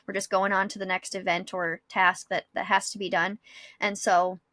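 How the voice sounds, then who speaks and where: A woman's voice: medium pitch, level tone, moderate pace, sharp tone, dry recording. A woman in her thirties co-hosting a podcast in casual, reflective chat, explaining ideas and rephrasing them as she thinks aloud. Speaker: podcast co-host; school psychologist.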